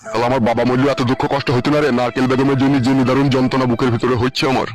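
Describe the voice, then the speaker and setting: A man's voice: mid-range voice, flat delivery, rapid-fire, faint music behind, close to an everyday voice. A man playing a lovelorn, crude uncle in a comic cartoon, lamenting and grumbling melodramatically. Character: lovelorn, crude uncle pining for his runaway sweetheart.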